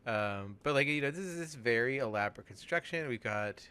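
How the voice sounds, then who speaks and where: A man co-hosting a let's play, chatting casually and thinking aloud in a halting, musing way. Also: mid-range voice, expressive, bright, forceful tone, smooth. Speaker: let's play co-host playing the game. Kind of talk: casual game commentary chat.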